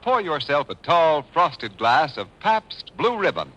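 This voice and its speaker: A man's voice: a little high, sing-song, measured pace, bright, forceful tone. A man with an American accent reading polished, emphatic sponsor announcements in a 1940s radio style. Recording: old radio broadcast, faint music behind.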